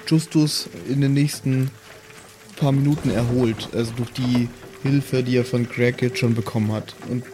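A man's voice: medium pitch, fairly even intonation, moderate pace. A man chatting casually as a player in an improvised tabletop roleplaying game. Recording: music behind the voice. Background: background music.

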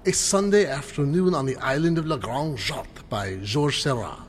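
A man playing an excitable, high-pitched scientist-adventurer. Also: normal speed, big-room sound, slight background noise, natural voice. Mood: admiring, lecturing. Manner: dramatic, erudite, grandly enthusiastic. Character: excitable male scientist-adventurer doctor, eager and theatrical. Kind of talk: old-time radio adventure acting.

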